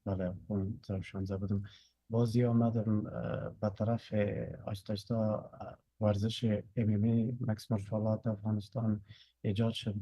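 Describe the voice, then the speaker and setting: A man's voice: fairly low pitch, mellow, no room sound. A young man from Afghanistan, a fighter, answering interview questions hesitantly with many fillers. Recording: dull lo-fi audio.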